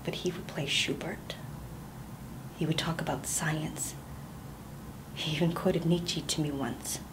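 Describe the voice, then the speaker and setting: Woman acting a haunted survivor, hushed whispered delivery, quiet and intense, stage monologue. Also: deep voice, steady tone, slow, low-quality recording. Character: haunted woman confessing revenge on her torturer.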